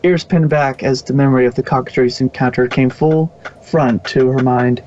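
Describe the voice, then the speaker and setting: A man's voice: mid-range voice, fairly even intonation, moderate pace, a little mellow, dull audio. A man reading fan fiction aloud as the narrator, close to the microphone.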